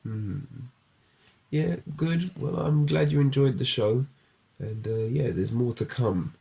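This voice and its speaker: A man's voice: medium pitch, slow pace, creaky, warm tone, husky. A man interviewing casually, explaining things and encouraging the person he talks with. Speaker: interviewer, puppet show storyteller.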